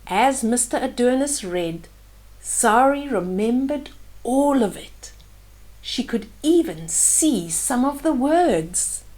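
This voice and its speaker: An older woman reads a children's story at a very unhurried pace. Her voice sits a little high, and she pauses to explain the pictures gently and simply to young listeners. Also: animated, low-quality recording. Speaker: storyteller reading children's books aloud. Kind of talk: children's bedtime story read-aloud with asides about pictures.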